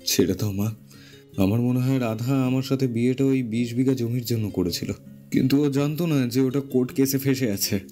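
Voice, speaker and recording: A man's voice: medium pitch, moderate pace, warm tone, close to an everyday voice. Meek, downcast short husband, acted by a man, cartoon fairy tale, mid-range, earnest, over music. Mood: earnest, resigned. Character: gentle, dejected short-statured husband and shopkeeper. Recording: clear music behind the voice.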